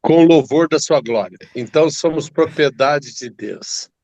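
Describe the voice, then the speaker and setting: A man's voice: on the high side, unhurried, a little mellow, dry recording, dull audio. A man taking part in a Bible study, affirming and reading verses aloud. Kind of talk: group discussion.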